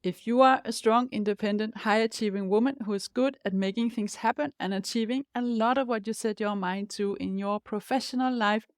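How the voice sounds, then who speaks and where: A woman's voice: a little high, no echo, clean studio sound. Danish-accented woman, upbeat podcast host. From Denmark. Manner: upbeat, encouraging, direct address to listener. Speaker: podcast host, life coach. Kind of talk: scripted podcast monologue.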